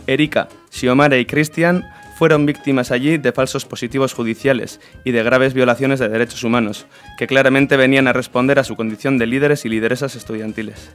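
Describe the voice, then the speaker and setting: A man's voice: mid-range voice, fairly even intonation, brisk, faint music behind. A man with an accent from Spain, hosting an informal community radio interview. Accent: Spanish, from Spain.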